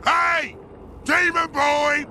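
Loud ork warboss, super high strained growl, sharp and forceful, always picking fights. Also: male voice, little pitch movement, drawn-out words, a little hiss or hum, exaggerated voice. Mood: gleeful taunting. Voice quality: gravelly. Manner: coarse, taunting, bellowing. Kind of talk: dramatic video game cutscene acting.

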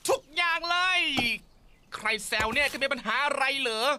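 A woman voicing a brash, scheming, excitable little male rat in a cartoon dub, pushy and full of exclamations. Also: high-pitched, moderate pace, background noise, close to an everyday voice. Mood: excited, persuasive. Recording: loud music behind the voice.

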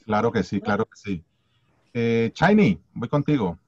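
A man's voice: mid-range voice, lively intonation, measured pace, no room sound. A man hosting an online meeting, calling on participants one by one over a video call. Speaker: meeting host. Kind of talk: casual meeting moderation. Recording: dull lo-fi video call audio.

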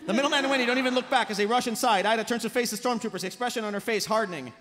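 A man's voice: very high-pitched, racing, a bit bright. A man reading stage directions as narrator of a live script table read. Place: panel table in large convention hall. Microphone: table microphone. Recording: echoey convention hall.

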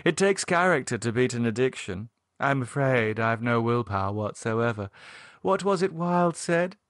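A man's voice: mid-range voice, expressive, normal speed, a bit bright, dry recording, studio quality, close to an everyday voice. A man voicing a flirtatious upper-class English society wife in an audiobook, effusive and coquettish. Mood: coy, flirtatious. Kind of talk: audiobook character voice.

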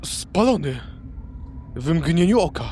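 An actor playing an awed draenei warrior companion in a fantasy audio drama. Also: male voice, on the high side, big pitch swings, slow pace, warm tone, a little hiss or hum. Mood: amazed.

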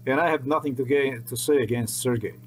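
A man's voice: mid-range voice, a little fast, slight background noise, poor audio. A middle-aged man, rambling earnestly and repeating himself as he talks to his webcam in a small room. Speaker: vlogger. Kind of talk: unscripted monologue to camera. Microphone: webcam at desk.

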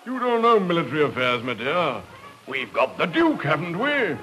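An actor playing a pompous, boastful, excitable gentleman with an English accent in a 1950s radio drama. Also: fairly high pitch, normal speed, faint music behind, low-quality recording. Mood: boastful bluster. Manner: pompous, blustering.

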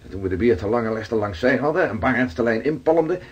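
Very husky old-time seafarer spinning tales. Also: male voice, medium pitch, animated, muffled recording. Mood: vivid recounting. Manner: slow, dramatic storytelling. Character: weathered Dutch seafarer Gerrit, awed storyteller, around 1600. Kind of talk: radio drama acting.

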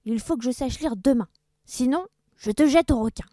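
A woman voicing a cruel male pirate captain in a children's story, shouting insults at his crew. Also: lowish voice, lively intonation, moderate pace, no echo, studio quality, natural voice. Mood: threatening.

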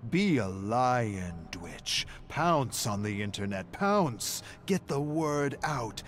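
A man's voice: medium pitch, big pitch swings, slow, a little hiss or hum, pitched above the performer's own voice. A man voicing a pompous, pushy company boss with a bombastic delivery in a game story. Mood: bombastic, demanding. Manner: forceful, bombastic.

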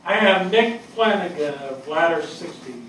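An older man acting an earnest fire captain in a stage reading, delivering a measured eulogy for a fallen friend. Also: on the high side, animated, fairly bright tone. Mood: solemn. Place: indoor theatre stage. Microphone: distant camera. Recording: echoey stage, distant mic, faint noise.

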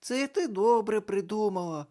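Brief: high, excitable girl in witch costume, as a reader puts her on, animated storybook voice. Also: measured pace. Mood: teasing. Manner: loud, excited, laughing.

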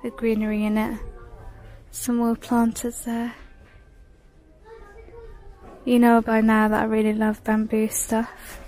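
Mellow-voiced woman browsing a shop. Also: fairly high pitch, monotone, measured pace, faint music behind, rough recording. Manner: chatty, reads out prices, sometimes drops to a whisper. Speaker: vlogger. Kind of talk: casual shop-with-me commentary. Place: inside a large home decor store. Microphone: handheld phone camera.

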